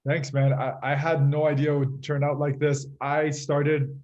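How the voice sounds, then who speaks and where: A man from Iran who moved away as a child, telling his personal story casually as a podcast guest, in a halting, reflective way. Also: on the high side, completely flat delivery, a little mellow, dull audio. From Iran, moved to Canada at eight. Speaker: podcast guest, fitness group leader.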